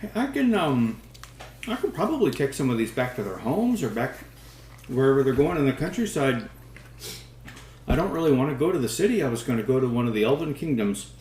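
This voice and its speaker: A man's voice: medium pitch, sing-song, brisk, darkish tone, slight background noise, natural voice. A man voicing an obliging wandering traveler who talks in a hesitant, rambling way, recorded in an echoey room. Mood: wistful.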